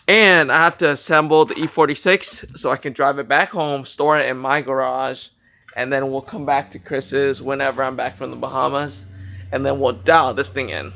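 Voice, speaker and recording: A young man talking casually to camera in a garage, excited and slangy as he shows off a car. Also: mid-range voice, vocal fry. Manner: excited, slangy, hypes things up. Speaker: car vlogger. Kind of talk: casual vlog talk to camera.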